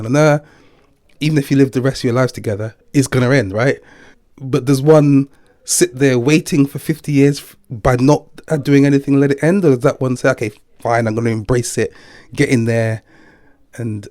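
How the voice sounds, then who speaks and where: A man's voice: medium pitch, a little mellow, no echo. Older man, thoughtful, dry close sound. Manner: thoughtful, philosophical, chuckles now and then. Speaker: interviewee. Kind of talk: reflective personal interview.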